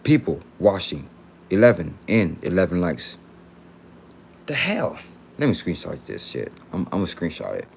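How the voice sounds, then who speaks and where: Very deep-voiced young man rambling casually. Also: sing-song, normal speed, creaky, a little hiss or hum. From Texas, United States. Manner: rambling, repetitive, slangy. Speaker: livestreamer. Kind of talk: casual livestream chat.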